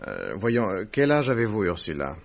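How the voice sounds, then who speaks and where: A man playing a methodical, paternal detective in a French radio drama, questioning in a measured, soothing manner. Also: on the low side, huge pitch swings, creaky, full character voice. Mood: calm, reassuring. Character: Victorian detective, methodical, probing, paternal gentleman. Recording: dull, noisy old radio recording.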